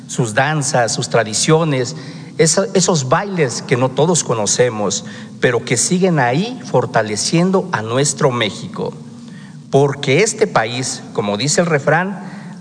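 Formal male presenter, native Mixe speaker, ceremonious delivery, hall reverb. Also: mid-range voice. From Mixe region of Oaxaca, Mexico. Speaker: segment presenter.

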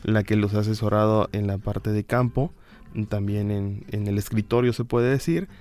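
A man's voice: on the low side, moderate pace, a little mellow. A Mexican man from the Isthmus of Tehuantepec, a teacher invited as a guest, speaking earnestly with many fillers. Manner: earnest, full of fillers like "pues" and "¿no?".

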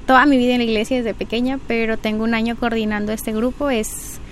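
A woman's voice: a little high, flat delivery, fast talker, slight background noise. A woman, answering interview questions politely and a bit hesitantly, with frequent filler words. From Mexico. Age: 20s. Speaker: pageant candidate.

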